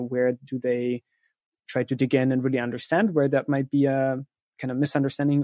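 A man's voice: medium pitch, darkish tone, dry recording. Man explaining his process, dry close sound. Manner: explains step by step, with frequent "right?" and "you know" check-ins. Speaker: interview guest, content writer who interviews experts. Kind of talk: explanatory interview conversation.

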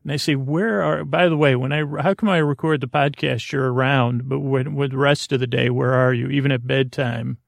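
Middle-aged man, American accent, heavy vocal fry, mumbled, halting, meandering podcast host, very dry close sound. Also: medium pitch, fairly even intonation, no room sound. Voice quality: gravelly, creaky. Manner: meandering, halting, trails off mid-thought. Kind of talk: rambling bedtime podcast monologue.

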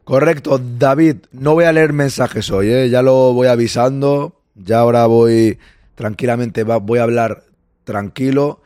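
Hoarse Spanish man chatting live. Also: mid-range voice, fairly even intonation, a little slow, a little mellow, dry recording, studio quality. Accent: Spain Spanish. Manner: chatty, greets chat members by name. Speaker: livestream host. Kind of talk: casual live chat with viewers.

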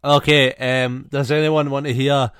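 A man's voice: medium pitch, little pitch movement, a little slow, a bit bright, smooth, dry recording, clean studio sound, faint music behind. A man co-hosting a podcast, joking and rambling in casual conversation. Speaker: podcast co-host. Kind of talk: casual banter.

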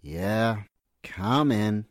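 Surly night attendant for radio drama male actor, very slow, with sliding intonation. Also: fairly low pitch, animated. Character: curt, surly morgue attendant. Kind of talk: 1940s radio drama acting.

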